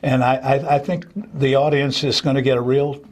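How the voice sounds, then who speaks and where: A man's voice: medium pitch, little pitch movement, normal speed, darkish tone. An older man speaking off the cuff as an interview guest, a theater director who reminisces with enthusiasm. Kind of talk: unscripted interview conversation.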